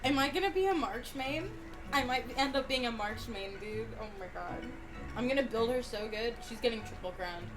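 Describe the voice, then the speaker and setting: A woman's voice: on the high side, moderate pace, rough recording. A woman co-hosting a game stream, chatting casually in a gushing, slangy way. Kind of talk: casual chat over gameplay. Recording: stream voice over game music.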